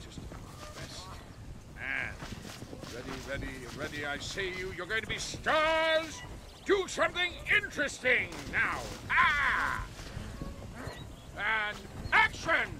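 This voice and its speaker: A man's voice: high-pitched, wildly swooping pitch, slow pace, noisy background, full character voice. An actor playing an eccentric male film director in a video game, speaking in a theatrical, fussy and gushing way. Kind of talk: video game cutscene acting.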